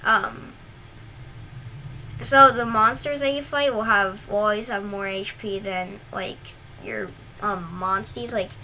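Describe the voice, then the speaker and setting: A woman's voice: medium pitch, fairly even intonation, slow pace, pushed and bright. Young female gameplay host, scratchy, rambling and casual, noisy home recording. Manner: rambling, filler-heavy, frequent restarts.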